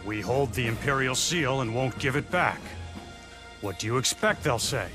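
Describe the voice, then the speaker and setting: An actor playing a blunt, world-weary warrior in a video game, speaking matter-of-factly. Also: male voice, medium pitch, animated, moderate pace, music in the background, close to an everyday voice. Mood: resigned, blunt. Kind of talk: dramatic English video game cutscene acting.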